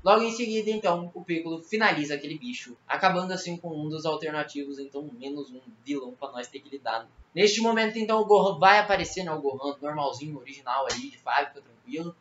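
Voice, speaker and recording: A man's voice: a little high, fast talker, few pauses, fairly bright tone. A man with a Brazilian Portuguese accent telling a story as a casual, slangy recap. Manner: colloquial, slangy. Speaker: video creator recapping a fan horror series. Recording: roomy echo, muffled, faint noise.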